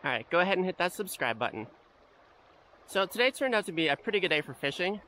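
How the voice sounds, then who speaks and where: Easygoing Canadian man fishing a river. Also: high voice. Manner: relaxed, easygoing commentary with quiet reactions. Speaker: fishing vlogger. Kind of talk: casual first-person vlog narration. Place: outdoors wading in a river. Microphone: head-mounted action camera. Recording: faint outdoor water noise. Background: rushing river water.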